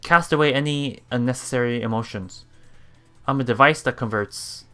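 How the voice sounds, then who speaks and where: A man's voice: medium pitch, a bit bright, smooth. A man reading visual novel text aloud for a let's play, stumbling over words and adding his own reactions. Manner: halting, stumbles over words and repeats them. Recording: music behind the voice. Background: game music.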